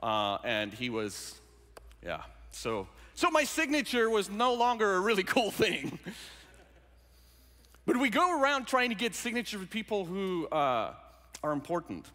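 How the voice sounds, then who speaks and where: Jokey preacher, bright tone. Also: male voice, high-pitched, measured pace. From South Africa. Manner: jokey, animated storytelling. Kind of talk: sermon. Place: large church sanctuary. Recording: hall reverb in a sanctuary.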